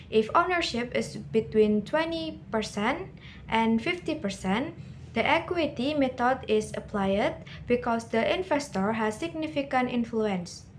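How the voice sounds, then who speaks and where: A young woman with an Indonesian accent giving a careful, formal rehearsed slide presentation as a student. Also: a little high. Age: young adult. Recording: slight background noise.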